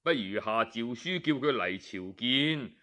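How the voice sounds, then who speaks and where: A man's voice: medium pitch, expressive, a little slow, sharp tone, pitched below the performer's own voice. A man voicing a cautious royal adviser who pleads respectfully with his sovereign, in an audiobook tale. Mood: pleading. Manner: pleading, deferential.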